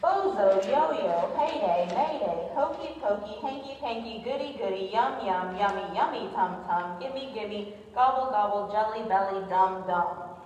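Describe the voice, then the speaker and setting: A woman playing a game, brisk school principal in a readers theater show, with emphatic delivery. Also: mid-range voice, animated, darkish tone, slight background noise, close to an everyday voice. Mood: playful, emphatic. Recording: muffled, distant, echoey hall.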